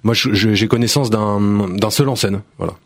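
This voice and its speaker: Man, from Burgundy, low voice, rapid, repeats words, dry studio. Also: no room sound. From Burgundy, France. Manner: fast, many repetitions and restarts. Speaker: playwright and director, guest. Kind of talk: radio interview. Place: radio studio.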